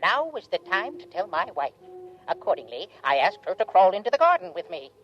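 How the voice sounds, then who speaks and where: A woman's voice: medium pitch, very animated, a little fast, dull audio, a little hiss or hum, natural voice. A woman playing a smug, gloating alien creature telling a story with theatrical self-satisfaction in a 1950s radio drama. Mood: smug, confiding. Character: smug, gloating alien husband creature recounting his wife-disposal scheme. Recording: old radio recording with music underneath.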